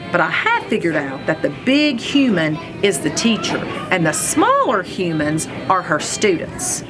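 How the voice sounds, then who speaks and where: A woman reads a children's storybook aloud with playful expression. Her pitch moves around a great deal, and she takes her time at an unhurried pace. Music plays behind her voice. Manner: expressive, playful, acts out sounds and exclamations. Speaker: read-aloud reader, school superintendent.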